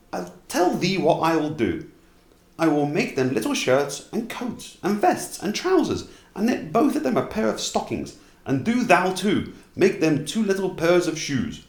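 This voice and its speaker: A man's voice: sits high, huge pitch swings, a little slow, darkish tone, echoey room, voice pushed up, full character voice. A man voicing a kindly, grateful shoemaker's wife in a storybook, with an earnest lilting manner. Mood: grateful, eager.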